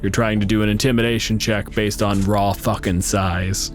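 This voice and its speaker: A man's voice: on the low side, level tone, moderate pace, soft background music. A man improvising narration as game master, casual and wry, swearing freely.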